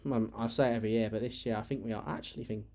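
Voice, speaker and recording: A man's voice: fairly low pitch, fairly even intonation, racing, gravelly, darkish tone. Rambling man, English accent with Irish touches. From England. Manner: rambling, many fillers and restarts. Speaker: streamer. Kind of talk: casual chat while gaming.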